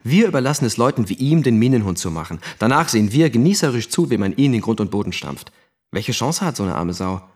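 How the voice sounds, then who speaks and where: An actor playing an earnest, critical radio trainee, reflective and sardonic in an audio drama monologue. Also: male voice, mid-range voice, big pitch swings, quick pace, breathy edge. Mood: bitter, reflective. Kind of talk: narrated monologue in a German audio play.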